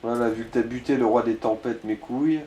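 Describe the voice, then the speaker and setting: Mellow-voiced man in an echoey room. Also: mid-range voice, steady tone, brisk, a little hiss or hum. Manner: informal, crude-mouthed. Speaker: co-player giving game advice. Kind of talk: casual gaming chat.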